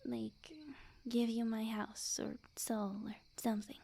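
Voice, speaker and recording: A woman playing a sweet, smug, possessive witch in love, in a soft, breathy ASMR roleplay addressed to the listener. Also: fairly high pitch, completely flat delivery, exaggerated voice. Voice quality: soft, breathy, often whispered. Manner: slow, coy, teasing, overconfident.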